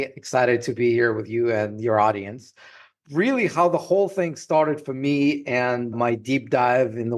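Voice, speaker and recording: Russian-accented man telling a lively story. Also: mid-range voice. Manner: lively anecdotal, laughs while talking. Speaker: podcast guest, trademark lawyer. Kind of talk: casual storytelling interview.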